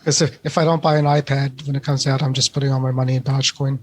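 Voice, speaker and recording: A man co-hosting a podcast in casual tech chat, with a halting, wry way of talking. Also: medium pitch, flat delivery, slight background noise. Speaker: podcast co-host.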